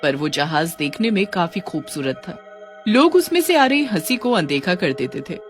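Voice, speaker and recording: A woman's voice: lowish voice, sing-song, normal speed, faint music behind. A woman narrating a scripted cartoon story.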